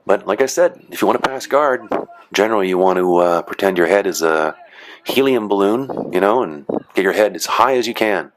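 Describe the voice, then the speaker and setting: A man's voice: sits low, lively intonation, a little fast, slight background noise, rough recording. A man casually narrating footage of matches he refereed, chatty and rambling with dry joking asides. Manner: rambling, chatty, frequent "you know" and "uh". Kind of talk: casual commentary.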